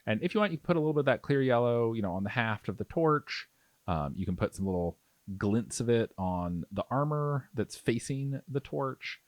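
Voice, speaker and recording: A man's voice: mid-range voice, expressive, moderate pace, no echo. A man narrating a hands-on tutorial in a casual, conversational way as the host. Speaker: miniature painting tutorial host.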